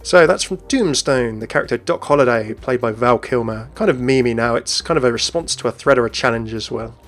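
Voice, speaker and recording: A man's voice: mid-range voice, fairly quick, a bit bright, faint music behind. A man hosting a gaming video, narrating game lore in a chatty, upbeat way. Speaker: video host.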